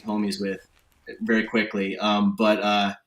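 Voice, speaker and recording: A man's voice: on the low side, completely flat delivery, unhurried. A man in his thirties from Connecticut, a podcast guest chatting casually in a laid-back, rambling way with lots of fillers. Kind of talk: casual conversation. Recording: roomy echo.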